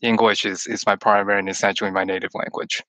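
Brief: man from Texas, Chinese first language, rambling guest, bright and dry. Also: lowish voice, little pitch movement, quick pace, no room sound. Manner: long-winded, rambling, with many restarts. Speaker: podcast guest, returned missionary. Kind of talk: casual chat over a call. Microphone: call-in line.

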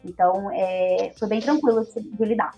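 A Brazilian woman in her twenties, a guest telling her own story in a relaxed, chatty way. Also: mid-range voice, normal speed, lo-fi sound, soft background music. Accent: Brazilian Portuguese. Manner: chatty, leans on tag questions. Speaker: interview guest. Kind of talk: casual personal storytelling.

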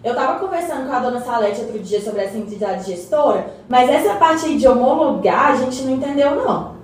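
Woman acting as questioning community woman, animated intonation, radio drama, strong room reverberation. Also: high-pitched, brisk. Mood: puzzled, enthusiastic. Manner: colloquial, animated. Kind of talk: scripted educational radio play. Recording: very echoey, distant mic, faint noise.

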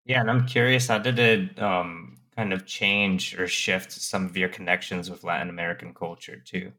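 A man's voice: deep voice, fairly even intonation, moderate pace, bright, forceful tone. A man co-hosting a podcast, asking the guest questions in a friendly, curious way. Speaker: podcast co-host. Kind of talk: interview questions.

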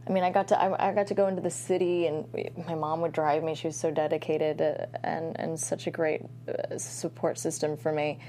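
A woman from Southern California with a deep voice, chatting in an interview as an actress guest, full of restarts and self-mocking laughs. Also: flat delivery, fairly quick, warm tone, slight background noise. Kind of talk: casual interview chat.

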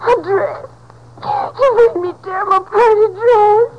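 A wild, defiant hill girl with an extremely high, whiny voice. It is 1950s radio drama acting, buried in heavy recording noise. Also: lively intonation, a little slow, dull audio. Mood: wailing. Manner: whiny, defiant, rustic speech. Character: fierce, defiant backwoods young girl, wild and tearful. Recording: very noisy old radio recording.

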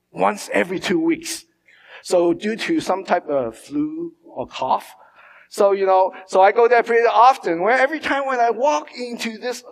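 Guy preaching in a church, kinda high voice, lots of uh and restarts, big hall echo. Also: creaky, fairly bright tone. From Hong Kong. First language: Mandarin or Cantonese. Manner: halting, many fillers and restarts. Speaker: pastor. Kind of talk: sermon. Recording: long church hall reverb.

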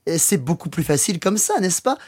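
A man's voice: high-pitched, very animated, quick pace, a little mellow, slightly rough, exaggerated voice. A man playing a friendly local Frenchman giving helpful directions in a scripted language-course dialogue.

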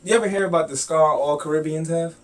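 A man's voice: a little high, fast, recorded from a distance. A young man hosting a podcast and chatting casually with his father. He talks loosely, with lots of fillers and restarts. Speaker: podcast host. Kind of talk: casual interview chat.

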